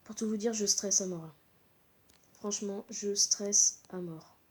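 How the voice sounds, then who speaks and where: A woman's voice: medium pitch, fairly even intonation, measured pace, mellow, a little hiss or hum. A woman with a stuffy, congested voice from a cold, giving live gameplay commentary in short, repeated exclamations. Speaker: gaming channel host.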